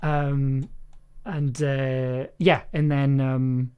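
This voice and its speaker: A man with quite a high-sitting voice for his sex directs a recording session on a stream. He is casual and encouraging, and hesitates as he searches for words. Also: flat delivery, very slow, clean tone, muffled recording.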